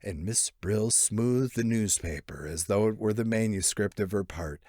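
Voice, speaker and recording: A man narrating a literary short story in an expressive, theatrical way. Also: fairly low pitch, normal speed, no room sound, clean studio sound. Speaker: audiobook narrator.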